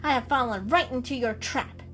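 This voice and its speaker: Lady putting on a jumpy lil bird, shrill n high, sassy and suspicious, storybook reading w music. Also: moderate pace, close to an everyday voice. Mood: alarmed. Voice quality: shrill, chirpy. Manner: sarcastic, excitable. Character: small brown bird, jumpy, suspicious, sarcastic. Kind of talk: dramatic reading of animal storybook.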